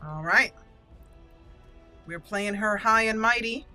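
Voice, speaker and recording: Woman casually narrating her gameplay, bright voice. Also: mid-range voice, slow, soft background music. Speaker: game player and channel host.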